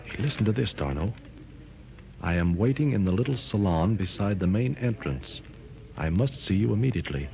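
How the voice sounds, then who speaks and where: A calm, firm hero in a 1930s radio serial. His voice is very deep and plainspoken. The old transcription is dull, with faint hiss. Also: normal speed, gravelly. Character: steady heroic jungle lord in evening dress.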